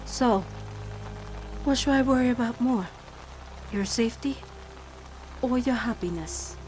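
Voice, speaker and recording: A woman's voice: a little high, unhurried, noisy background, lightly acted. Woman as a loving, worried middle-aged mother. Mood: torn, reflective. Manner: reflective, anxious, tender. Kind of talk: animated-series comic dub monologue.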